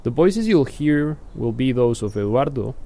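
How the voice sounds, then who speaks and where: A man hosting an oral history, reading a formal introduction and then asking questions in a measured way. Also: medium pitch, big pitch swings, mellow. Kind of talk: read introduction, then interview.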